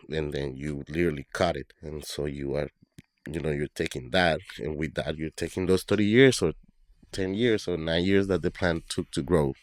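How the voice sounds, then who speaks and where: A man's voice: a bass voice, no echo, studio quality. A man from Colombia, a bartender guest, talking loosely and thinking aloud with many fillers. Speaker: guest, bartender and brand ambassador. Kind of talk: casual interview talk.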